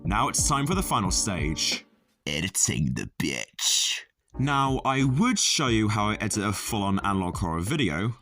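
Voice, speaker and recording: A man giving a casual tutorial voice-over as a video creator, with deadpan, self-deprecating, sweary humour. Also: lowish voice. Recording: music playing under the voice. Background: background music.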